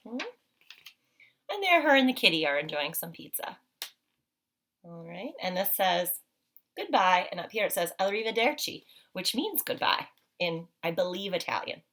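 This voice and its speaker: A woman's voice: fairly low pitch, very animated, normal speed, pauses often, a bit bright. A woman teacher leading a read-aloud picture walk for children, explaining simply and asking frequent, encouraging questions.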